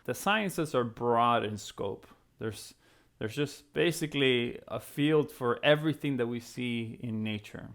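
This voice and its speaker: Creaky-voiced man explaining to students. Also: mid-range voice, a little slow, fairly bright tone. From Venezuela (Caracas). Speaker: physics professor and program co-founder. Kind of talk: explanatory talk to students, conversational.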